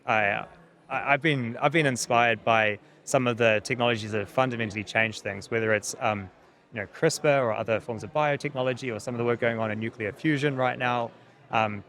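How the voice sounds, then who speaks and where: A man in his thirties with a New Zealand accent answers interview questions earnestly, often pausing and repeating words as he thinks. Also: medium pitch, fairly bright tone. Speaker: technology company founder, interview guest.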